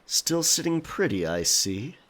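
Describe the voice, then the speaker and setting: A man playing a dry, taunting pirate with a husky voice in an audio drama. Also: fairly high pitch, big pitch swings, unhurried, a bit bright, exaggerated voice. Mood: dry teasing. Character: gruff, teasing male pirate, coolly in command. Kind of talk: audio drama character acting.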